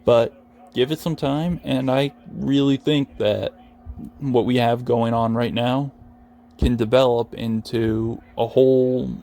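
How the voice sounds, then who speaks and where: A man's voice: mid-range voice, fairly even intonation, slow pace, vocal fry, warm tone. A young man from New England with an American accent, talking earnestly and reflectively in casual, rambling solo sports commentary. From New England, United States. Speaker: Patriots fan commentating over his video game.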